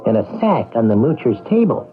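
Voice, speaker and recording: An actor playing a talking dime, a wry self-styled poet who tells its story theatrically in a radio drama. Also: male voice, mid-range voice, big pitch swings, brisk, close to an everyday voice. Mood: wry, whimsical. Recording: muffled, narrow-band old radio recording. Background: prominent music.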